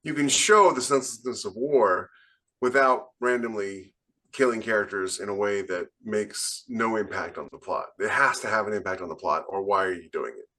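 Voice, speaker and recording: Emphatic man with a bright, lowish voice. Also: normal speed. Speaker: podcast co-host and fiction author. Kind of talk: casual panel chat about writing craft.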